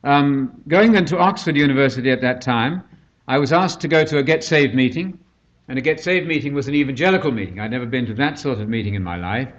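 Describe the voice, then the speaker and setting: An older Englishman with a British accent speaks in a measured, unhurried way as he recounts his own path to faith, dropping in dry, self-deprecating asides. His mid-pitched voice comes through a somewhat dull, lo-fi recording. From England. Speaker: guest speaker. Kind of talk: personal testimony talk.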